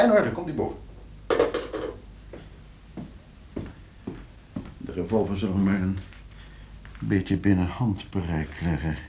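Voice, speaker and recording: Smug, scheming lawyer, swooping intonation. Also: male voice, sits low, slight background noise. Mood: musing to himself. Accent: Netherlands Dutch. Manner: suave, hesitant repetitions, condescending. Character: smug, scheming lawyer, falsely hospitable and needling. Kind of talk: radio play acting.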